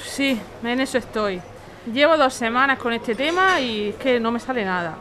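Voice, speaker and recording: A woman's voice: a little high, expressive, moderate pace, fairly bright tone. A woman acting an indignant, snobbish, overqualified jobseeker of thirty-five in an audio drama. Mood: complaining. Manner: indignant, complaining. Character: indignant, snobbish 35-year-old overqualified woman seeking work. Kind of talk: audio fiction podcast acting. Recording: busy bar chatter behind.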